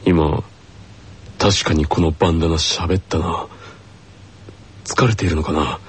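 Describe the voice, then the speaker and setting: An actor playing a serious, easily flustered teenage boy athlete in an anime radio comedy, with a growly rasp and terse muttering that jumps into exclamations. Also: extremely low pitch, steady tone, unhurried. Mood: gruff, puzzled.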